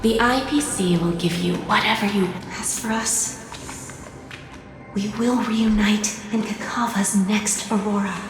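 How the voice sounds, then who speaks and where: Slow, very echoey tender woman played by an actress. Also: medium pitch, fairly even intonation, natural voice. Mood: wistful. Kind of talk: dramatic game voice acting. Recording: very echoey, music behind.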